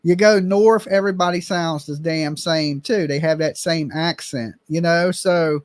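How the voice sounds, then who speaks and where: A man's voice: a little high, steady tone, unhurried, no room sound, clean studio sound. A man hosting a casual chat, blunt and opinionated, swearing now and then.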